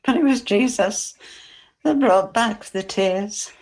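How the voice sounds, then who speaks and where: Biblical drama: devoted grieving woman, played by a woman, middle register, very unhurried, tender, halting and emotional. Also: medium pitch, a little mellow. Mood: weeping sorrow. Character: grieving devoted Mary of Bethany, tender and anguished. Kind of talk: dramatic monologue in a church service.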